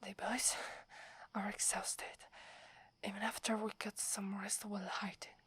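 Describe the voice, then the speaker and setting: A young female werewolf warrior, acted by a woman in an ASMR roleplay, whispers with an Italian accent. Her delivery is very breathy and slow, staying within a narrow pitch range. She is loyal and hesitant, speaking deferentially to her alpha. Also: flat delivery, a bit bright. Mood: weary, proud.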